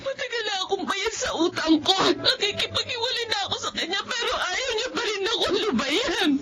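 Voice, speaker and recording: A woman's voice: very high voice, expressive, a little fast. A woman playing a desperate, remorseful adulterous wife in a Tagalog radio drama, pleading and tearful, with a Filipino accent. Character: remorseful, desperate adulterous wife, defiant toward her lover. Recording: dull lo-fi audio under loud music.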